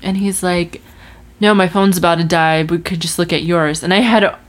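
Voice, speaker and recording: A woman's voice: lowish voice, steady tone, normal speed. A woman in her twenties chatting casually about her resolutions, telling a story with lots of fillers and restarts. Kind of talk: casual chat.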